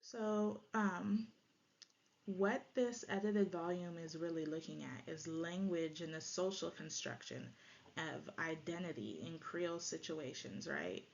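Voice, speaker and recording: A woman's voice: fairly low pitch, measured pace, gravelly. A woman who natively speaks Jamaican Patois gives an explanatory academic presentation, often checking in with "right?". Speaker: presenter, lecturer. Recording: dull lo-fi audio, faint noise.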